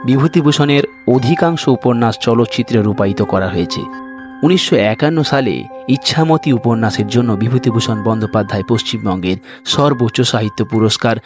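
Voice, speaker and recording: A man hosting and reading an audio story in a scripted narration style. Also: mid-range voice. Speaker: story reader and host. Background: music.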